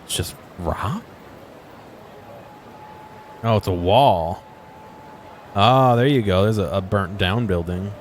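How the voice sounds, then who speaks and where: Male game streamer, lowish voice, casual off-the-cuff exploring commentary with faint street ambience behind. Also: slow, long pauses, slight background noise. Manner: musing aloud, asking himself questions.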